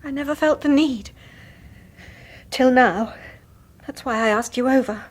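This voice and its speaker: An actress playing a haunted young mother in a radio horror drama, speaking hesitantly in a hushed, husky voice. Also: sits high, unhurried. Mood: troubled, confiding. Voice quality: breathy, husky. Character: anxious young mother, haunted and unsettled by her husband.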